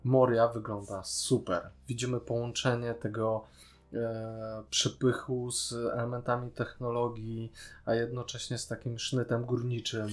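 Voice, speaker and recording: A man co-hosting a podcast, giving a casual, enthusiastic series review with hesitant pauses. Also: medium pitch, level tone. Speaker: podcast co-host.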